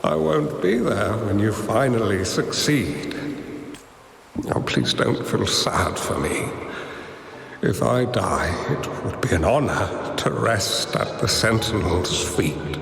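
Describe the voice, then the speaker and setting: Wise old game mentor near death, slow and gravelly, lots of reverb, gentle n solemn. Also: male voice, fairly low pitch, expressive, heavily acted. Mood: gentle, resigned. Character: dying wise old master strategist, gentle and resigned. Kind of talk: scripted game voice acting. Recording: long echoing reverb, music underneath. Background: music.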